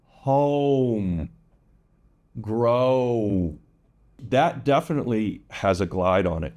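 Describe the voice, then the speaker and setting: Slow, deliberate male pronunciation teacher. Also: on the low side, a little mellow. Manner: drawn-out, exaggerated vowel demonstrations, repeats words.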